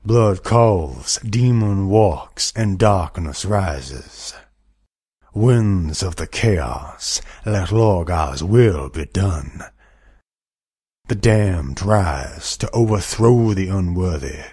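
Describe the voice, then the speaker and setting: A man voicing a fervent demonic warrior-priest of chaos, booming out incantations. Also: lively intonation, drawn-out words, warm tone, breathy edge, no echo, studio quality, exaggerated voice. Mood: fervent, ominous.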